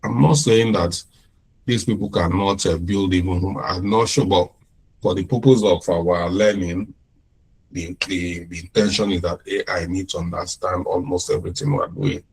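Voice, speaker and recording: A man with a Nigerian accent giving an informal classroom lecture, unhurried, often repeating and restarting his phrases. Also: deep voice, steady tone, gravelly, a little mellow, husky, rough recording.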